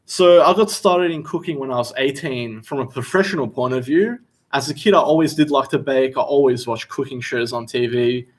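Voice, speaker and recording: A young Australian man talks easily through a casual livestream question session. His voice sits at a middle pitch, and his delivery is loose and conversational as he answers questions. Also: brisk. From Western Australia. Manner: relaxed and chatty, reads viewer questions aloud. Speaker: chef hosting a Q&A livestream. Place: seated indoors.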